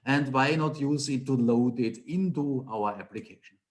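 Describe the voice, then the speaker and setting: A man's voice: medium pitch, normal speed. A middle-aged man from Austria with an Austrian German accent, giving a technical conference talk over a webcam call in a small home office, explaining with rhetorical questions and emphatic repetition. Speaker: conference speaker, Angular trainer.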